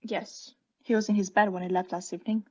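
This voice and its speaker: A young woman playing a guilty, unhinged caretaker in a radio play, breathy and often whispering, with halting, insistent self-justification. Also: mid-range voice, flat delivery, warm tone, lo-fi sound, lightly acted. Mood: nervous politeness. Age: young adult. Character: guilty, unhinged caretaker, anxiously feigning calm politeness. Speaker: student actor.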